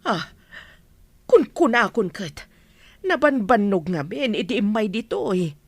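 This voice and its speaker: A woman's voice: medium pitch, very animated, measured pace, breathy edge, no echo, heavily acted. An actress with an Ilocano Filipino accent playing a worried, caring mother in a radio drama. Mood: concerned. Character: anxious, caring mother of a sick grown daughter.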